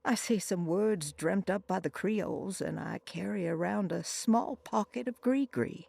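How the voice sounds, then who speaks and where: Video game role: troubled, pious woman, reverent and solemn, low-lit mellow tone with gravelly fry. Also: unhurried. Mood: sorrowful, confiding. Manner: solemn, hushed, reverent delivery. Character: devout, troubled woman seeking solace in voodoo, praying. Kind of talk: dramatic video game monologue.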